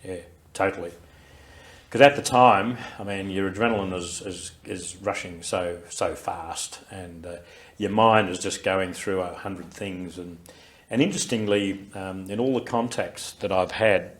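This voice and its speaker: An older Australian man being interviewed, recalling his experiences in a slow, reflective way with frequent fillers and restarts. He has a loud, carrying voice. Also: deep voice. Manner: reflective, unhurried recollection with many fillers and restarts. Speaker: interviewee, war veteran. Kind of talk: recorded oral history interview.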